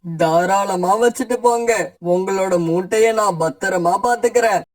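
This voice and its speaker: A man's voice: sits high, moderate pace, darkish tone, close to an everyday voice. A man voicing a kindly talking tree, earnest and polite, in a children's cartoon fable. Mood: welcoming. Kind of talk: cartoon voice acting.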